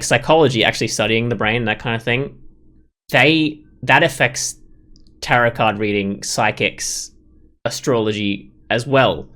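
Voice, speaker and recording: A man's voice: on the low side, fairly bright tone. A man hosting a gaming video, giving casual let's play commentary and thinking aloud in a rambling way.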